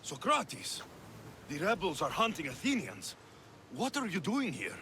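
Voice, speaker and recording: An actor playing a young Greek mercenary hero in a game cutscene, steady and resolute. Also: male voice, high-pitched, unhurried, slight background noise, heavily acted. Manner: steady, rising to rousing shouts. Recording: game cutscene with music behind.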